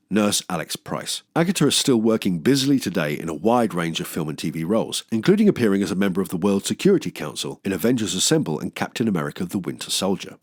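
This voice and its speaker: A man with a British accent presents tongue-in-cheek film commentary. His voice sits on the low side but swings widely in pitch as he makes wry, self-mocking asides. The recording is dry, with no room sound. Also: no echo. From England. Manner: wry, self-deprecating, expressive comic asides. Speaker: film review video host. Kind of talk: humorous scripted film commentary.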